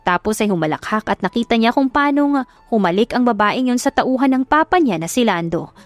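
A Filipino woman narrates a scripted love story in a fairly high voice. Her delivery is dramatic, as in an audiobook. The recording is dry, with soft music behind her. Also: lively intonation, brisk, dry recording.

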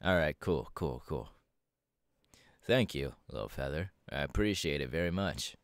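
A man voicing a laid-back, cocky young winged superhero in a casual ASMR audio roleplay, talking slangily with hesitant pauses. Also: a bass voice, expressive, creaky, clean tone, no room sound, clean studio sound, heavily acted. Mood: grateful, relaxed.

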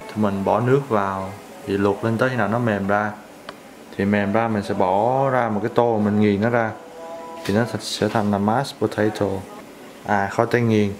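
A young man hosting a casual cooking vlog, explaining step by step in a relaxed, chatty way in his home kitchen. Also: fairly low pitch, moderate pace, slight background noise. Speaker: vlog host.

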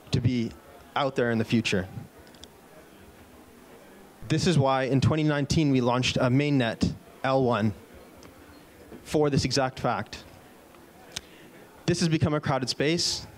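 A man in his thirties giving a product keynote at a conference, upbeat, with a few fillers and restarts, speaking into a handheld mic on an indoor stage. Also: medium pitch. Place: conference stage in a large indoor event room. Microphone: handheld microphone.

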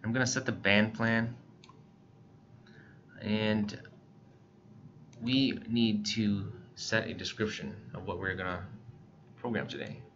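A low-pitched American man with a bright, forward edge to his voice presents a casual software tutorial. He gives plain, step-by-step instructions. The recording is a little dull and lo-fi. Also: slow, pauses often, slight background noise.

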